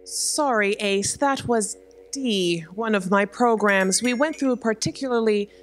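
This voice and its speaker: An actress playing a patient, caring sentient spaceship, speaking gently in a staged audio drama. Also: female voice, fairly high pitch, unhurried, soft background music, natural voice. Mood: concerned. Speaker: stage actor. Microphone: stand microphone on stage.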